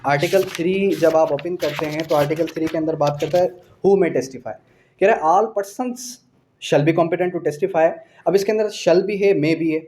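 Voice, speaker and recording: A man with a Pakistani accent lectures students in a slightly high-pitched voice. He explains step by step, posing rhetorical questions and answering them himself. English legal terms and quotations are mixed into his speech. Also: steady tone, normal speed, a little mellow. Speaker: law teacher. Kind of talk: exam-prep lecture to students.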